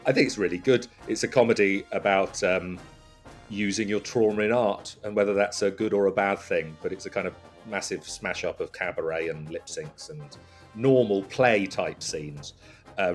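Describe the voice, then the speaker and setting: Male comic panellist, wry and hesitant, lower-pitched, faint music underneath. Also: brisk. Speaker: comedy podcast guest. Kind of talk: casual comic riffing.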